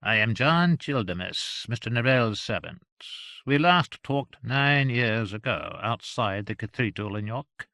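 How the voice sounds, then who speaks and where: A man voicing a shabby, grave Yorkshire servant in an audiobook, with a gravelly, deliberate delivery and coolly amused menace. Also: medium pitch, animated, sharp tone, no room sound, studio quality. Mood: stern, insistent.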